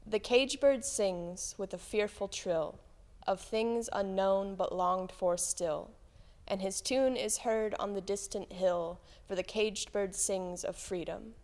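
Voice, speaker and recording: Slow-paced woman reciting poetry in a hall. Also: mid-range voice, darkish tone. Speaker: church member reading poetry aloud. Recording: echoing hall with long reverb.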